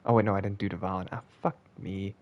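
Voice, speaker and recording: A man's voice: low-pitched, steady tone, fast talker, lo-fi sound. A man streaming a video game with casual commentary, blunt, sweary and given to exasperation. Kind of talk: casual gaming commentary with reading of on-screen text.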